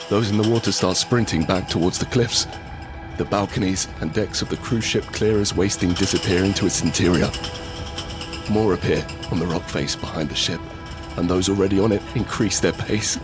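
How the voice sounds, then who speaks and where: An actor playing a frightened survivor in an audio drama, narrating urgently in the first person and present tense in fragmented phrases. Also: male voice, low voice, normal speed, music in the background, lightly acted. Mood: low dread. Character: desperate, frightened male survivor of a monster apocalypse. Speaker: narrator and lead character.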